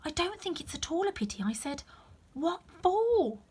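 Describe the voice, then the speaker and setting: A woman's voice: very high-pitched, sing-song, moderate pace, a little mellow, pitched above the performer's own voice. A woman voicing a polite, curious, slightly uneasy young girl in a storybook, earnest and plaintive. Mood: bright, insistent. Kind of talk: children's storybook read-aloud.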